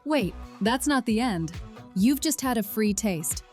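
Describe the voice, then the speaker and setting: A woman's voice: fairly high pitch, expressive, measured pace, darkish tone. A woman announcer reading an upbeat, inviting promotional outro. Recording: music bed under voice.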